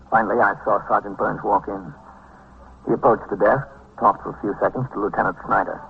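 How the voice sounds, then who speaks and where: Steady understated american police captain, old radio drama, low voice, tinny sound. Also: male voice, slightly rough. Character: steady, sombre police captain, considerate toward his men. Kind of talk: vintage American radio drama acting and first-person narration. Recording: tinny, noisy vintage radio recording.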